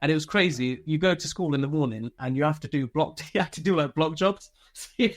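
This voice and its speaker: A man's voice: fairly high pitch, quick pace. A man telling personal stories casually as an interview guest, chatty and informal, swearing freely and often chuckling. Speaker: interview guest, former soldier.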